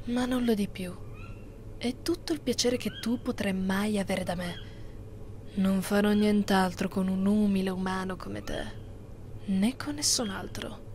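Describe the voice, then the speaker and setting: A woman playing a haughty, possessive immortal vampire mistress in an ASMR roleplay, speaking slowly and imperiously, often close to a whisper. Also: mid-range voice, steady tone, darkish tone, a little hiss or hum, lightly acted. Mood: cold, domineering. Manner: slow, imperious, condescending, often near whisper.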